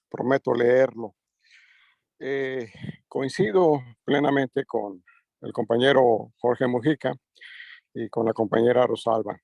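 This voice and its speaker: A man giving spontaneous remarks at a book forum as a migrant community organizer, halting and earnest with many fillers. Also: medium pitch, vocal fry, darkish tone, dry recording.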